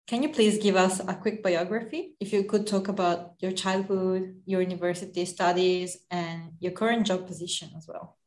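A woman's voice: lowish voice, steady tone, moderate pace, hardly any pauses, darkish tone. Woman asking questions in an echoey room. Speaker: podcast host, interviewer. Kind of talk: casual interview questions.

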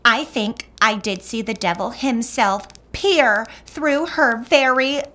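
A woman's voice: a little high, slow pace, bright, forceful tone. A woman playing a prim, melodramatic Puritan gossip, falsely sweet and self-satisfied, in a comic audio drama. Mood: melodramatic horror. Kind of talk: comedic audio drama acting in archaic English.

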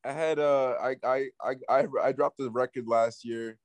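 A man from Peru who grew up partly in New York, giving casual interview answers as a music artist, haltingly and with repeated words. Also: medium pitch, fairly even intonation, no echo. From Peru, moved to the US at six, based in New York City. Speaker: guest, up-and-coming Latin music artist.

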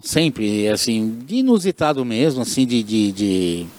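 A man's voice: fairly low pitch, big pitch swings, measured pace, darkish tone. A man, a radio show regular, telling anecdotes in an easygoing chat and repeating his phrases. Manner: chatty, laughs often, repeats phrases. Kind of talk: casual conversation, storytelling.

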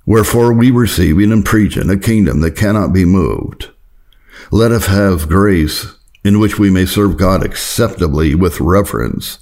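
Very deep, gravelly man reading a sermon. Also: unhurried, darkish tone. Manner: earnest, exhorting, rhetorical. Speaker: reader of historical Puritan sermons. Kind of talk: solemn sermon reading, scripted.

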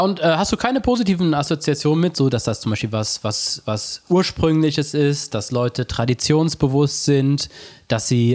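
A man from Germany's Ruhr area, musing his way through a casual conversation. He hesitates, restarts and fills pauses as he thinks aloud, in a voice of middling pitch. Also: darkish tone. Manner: thoughtful, hesitant, many fillers and restarts. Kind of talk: casual chat.

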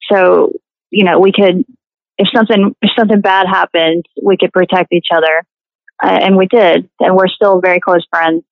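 A woman in her thirties as a podcast guest, talking hesitantly with many pauses and fillers. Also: on the low side, steady tone, creaky, no room sound, phone-quality sound. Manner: hesitant, many ums and uhs. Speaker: guest, singer and actress. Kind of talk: casual interview chat.

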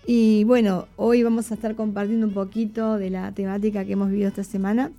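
A woman's voice: medium pitch, flat delivery, fast, warm tone. A woman hosting a casual live radio show, chatting conversationally with her co-host and addressing listeners.

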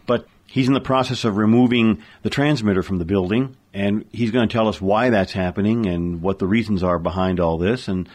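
Lower-pitched man co-hosting a talk show. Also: fairly quick, hardly any pauses, darkish tone. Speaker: radio talk show co-host. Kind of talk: casual, explanatory conversation.

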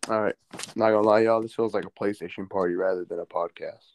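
A man's voice: lowish voice, fairly even intonation, fast talker, a little hiss or hum. A young man in a laid-back chat with friends, laughing along with the banter. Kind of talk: casual chat among friends.

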